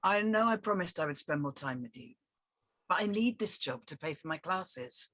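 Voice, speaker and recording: A woman plays a bemused, quiet lad in his twenties in a drama reading. The voice is slightly raspy and very animated in pitch, in a dry space. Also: moderate pace, no room sound. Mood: flustered. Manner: hesitant, bemused. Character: quiet, fresh-faced young man, hesitant new night auditor. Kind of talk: script table-read acting.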